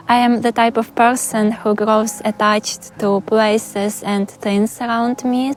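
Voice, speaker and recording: A young adult woman from Ukraine with a Ukrainian accent, calmly and earnestly answering viewers' questions in a vlog. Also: mid-range voice. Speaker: vlogger. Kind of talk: Q&A vlog narration.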